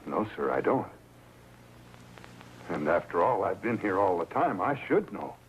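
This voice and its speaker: An actor playing an earnest employee and lovesick secret lover in a 1950s crime drama, speaking in a halting way. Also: male voice, low-pitched, very animated, muffled recording. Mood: uneasy denial. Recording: noisy old 1950s TV drama soundtrack.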